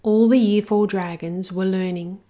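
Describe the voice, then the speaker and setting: Woman reading a picture book, slow, rhyming, expressive, storytime. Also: mid-range voice.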